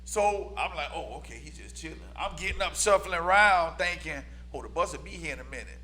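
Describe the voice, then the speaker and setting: A man's voice: on the high side, brisk. A man from Tampa, a podcast guest, telling a story in casual chat and acting out what people said. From Tampa, Florida. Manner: animated, laughing storyteller acting out quotes.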